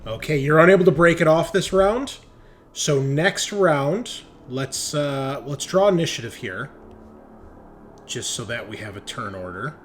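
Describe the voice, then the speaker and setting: A man's voice: a little high, expressive, measured pace, a bit bright, a little hiss or hum. A man running a tabletop roleplaying game as game master, talking casually and jokily.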